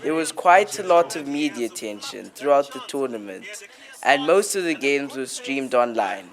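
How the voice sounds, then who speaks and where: A man's voice: mid-range voice, lively intonation, normal speed, a crowd in the background. A man reading scripted news narration for a newsreel.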